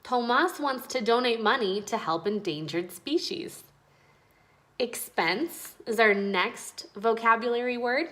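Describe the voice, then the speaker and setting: A woman reading aloud and explaining words as a teacher speaking to children, in a clear, explanatory way. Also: a little high, lively intonation, measured pace, bright, forceful tone. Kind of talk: children's read-aloud and vocabulary lesson.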